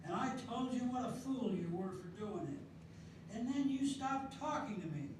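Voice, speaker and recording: An older man reading the part of a stubborn, opinionated elderly man in an amateur readers theatre piece, slow and plainspoken. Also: very high-pitched, lively intonation. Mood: bickering. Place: senior center hall. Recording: echoey hall from far off, noisy room.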